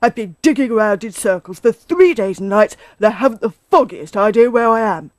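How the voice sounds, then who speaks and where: A woman voicing an excitable, anxious badger father in a children's story, exclaiming emphatically. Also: a little high, brisk, no room sound, pitched above the performer's own voice, natural voice. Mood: relieved, excited.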